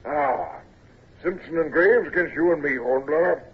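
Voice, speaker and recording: Hearty senior naval lieutenant, bluff and coaxing, raspy tone, tinny vintage radio sound. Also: male voice, a little high, moderate pace. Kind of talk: 1940s radio acting. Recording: tinny phone-like old radio recording.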